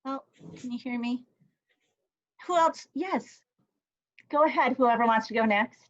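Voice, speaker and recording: A woman's voice: on the high side, unhurried, dull audio. Upbeat woman leading a video call. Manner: encouraging, asks many questions. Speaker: workshop facilitator. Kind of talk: casual video call discussion.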